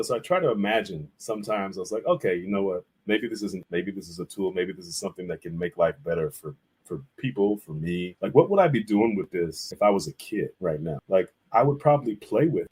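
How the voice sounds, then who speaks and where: Low-voiced man chatting casually. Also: a little mellow, husky, poor audio. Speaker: interview guest, visual artist and art teacher. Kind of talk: casual conversational interview.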